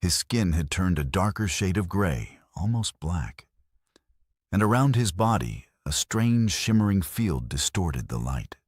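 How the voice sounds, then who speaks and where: Very low male narrator, solemn, dramatic, husky, dry close sound, audio novel. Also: big pitch swings, measured pace, no room sound.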